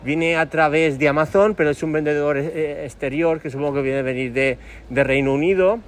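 A man's voice: on the high side, steady tone, a little fast. A man with an accent from Spain chatting casually as a podcast host while out walking, in a rambling, hesitant way as he searches for words. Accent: Spain Spanish. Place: outdoors, walking in town. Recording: outdoor street and vehicle noise.

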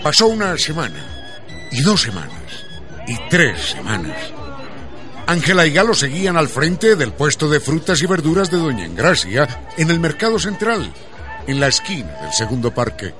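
Highly animated male storyteller. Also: normal speed, music in the background, crowd noise. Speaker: narrator. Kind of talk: scripted radio drama narration.

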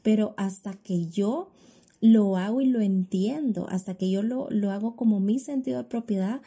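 A woman's voice: medium pitch, normal speed, dark tone, husky. A woman host with a Honduran accent reflecting on faith in a preaching-style way. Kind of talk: faith talk show reflection.

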